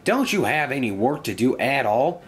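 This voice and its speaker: A man's voice: mid-range voice, big pitch swings, normal speed, a little hiss or hum, pitched above the performer's own voice, lightly acted. A man voicing a proud, irritable steam engine in a children's story, grumbling and dismissive. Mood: irritated, dismissive. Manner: grumbling, exasperated.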